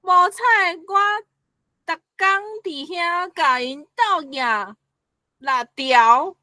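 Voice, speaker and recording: A woman's voice: sits high, animated, pushed and bright, dry recording, heavily acted. A woman playing a petty, aggrieved, scheming spirit in a Taiwanese folk tale, whining and indignant with a Taiwanese accent. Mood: tearful complaint. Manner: whining, indignant, drawn-out complaining.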